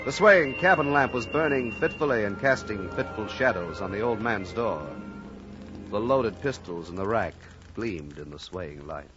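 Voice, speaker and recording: An actor narrating a sea tale in an old radio drama with grave, literary, dramatic delivery. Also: male voice, lowish voice, lively intonation, moderate pace, lightly acted. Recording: muffled old radio recording, music behind.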